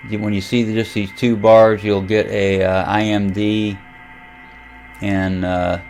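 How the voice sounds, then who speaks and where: An American man talks through a hands-on software demonstration in a casual, unscripted way. His voice sits fairly low and stays very even in tone. He pauses and thinks aloud as he works, over a faint bed of background noise. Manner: halting, thinking aloud while operating. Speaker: amateur radio vlogger demonstrating software. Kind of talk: casual unscripted screen tutorial.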